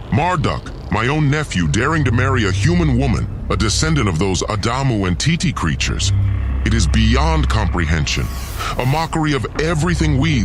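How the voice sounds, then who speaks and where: A man's voice: mid-range voice, background noise, natural voice. A man voicing an arrogant, cold ancient god who declaims with pompous scorn in an audio drama.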